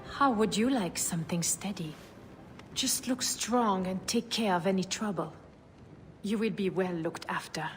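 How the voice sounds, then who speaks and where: A woman's voice: mid-range voice, a little slow, natural voice. An actress playing a poised, shrewd lady of means in a video game, cool and teasing. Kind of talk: video game dramatic acting. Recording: reverberant, faint ambient noise.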